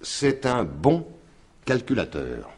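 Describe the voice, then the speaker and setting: A man's voice: mid-range voice, wildly swooping pitch, takes it slowly, darkish tone, breathy edge, full character voice. An actor playing a shrewd, insistent detective in a French radio mystery in 1950s style, explaining his theory with emphatic deliberation. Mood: methodical, grim.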